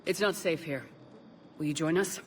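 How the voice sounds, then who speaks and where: An actress playing a resolute, composed military commander who speaks directly in a video game. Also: female voice, low-pitched, moderate pace, background noise, close to an everyday voice. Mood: composed, urgent. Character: resolute military commander, human space hero. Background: music.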